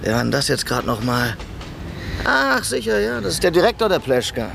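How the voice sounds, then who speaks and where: Gravelly, suspicious streetwise underworld man. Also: on the high side, sing-song, moderate pace, noisy background, heavily acted. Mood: mocking. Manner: brusque, sardonic, impatient. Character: curt, suspicious, streetwise male shady underworld contact. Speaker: actor in a radio play. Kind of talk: radio drama dialogue.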